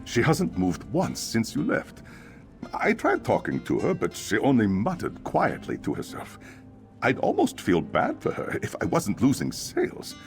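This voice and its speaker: A talkative innkeeper man from a fantasy game. He muses aloud, half grumbling and half curious, in a gravelly voice that swings widely up and down. Faint music plays underneath. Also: mid-range voice, measured pace, heavily acted. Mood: mildly irritated. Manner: expressive, musing aloud. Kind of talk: video game character acting.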